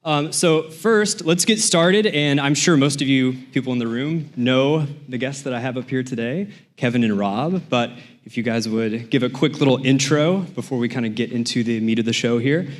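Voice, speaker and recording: A man's voice: mid-range voice, moderate pace, few pauses. A man hosting a live podcast on a conference stage, playful and upbeat as he talks to the audience. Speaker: podcast host.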